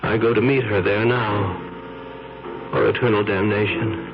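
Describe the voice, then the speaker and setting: An actor playing an obsessed, frightened photographer in a 1970s radio drama, talking in a halting, anxious way. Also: male voice, fairly low pitch, fairly even intonation. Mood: somber. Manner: anxious, halting, breaks into panic. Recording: dull 1970s radio broadcast.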